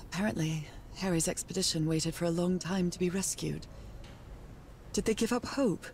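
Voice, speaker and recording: A thoughtful woman explorer from a 1930s adventure game, played by an actress, speaks her searching thoughts aloud to herself. Noisy ambience sits clearly behind her voice. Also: fairly low pitch, moderate pace, darkish tone, natural voice. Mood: pensive. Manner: pensive, musing aloud to herself. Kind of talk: video game character monologue.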